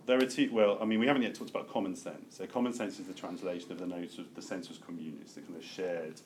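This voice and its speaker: Lowish voice guy lecturing a seminar, keeps restarting n thinking out loud, bit of background noise. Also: steady tone. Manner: halting, self-correcting, thinking aloud. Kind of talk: academic lecture with open discussion. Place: seminar room with a group. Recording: noticeable background room noise.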